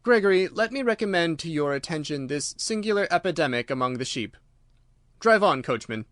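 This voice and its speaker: A man voicing a confident, brisk Victorian gentleman detective in an audiobook, courteous, assured and playfully secretive. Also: a little high, lively intonation, dry recording, clean studio sound, voice pushed up. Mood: pleased, cryptic. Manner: courteous, assured, formally worded.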